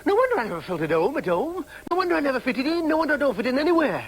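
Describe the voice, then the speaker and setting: An actor with a Yorkshire accent playing an agitated, obsessive adopted son in a radio drama, insistent and declamatory. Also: male voice, very high-pitched, very animated, racing, heavily acted. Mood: exalted, brooding. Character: agitated, obsessive adopted son hungry for his true identity. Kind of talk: broadcast radio drama acting.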